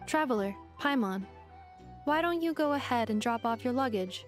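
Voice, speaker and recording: A woman as a polite, precise, higher-voiced female robot. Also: animated, moderate pace, faint music behind, close to an everyday voice. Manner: formal, precise, analytical. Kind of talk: video game voice acting.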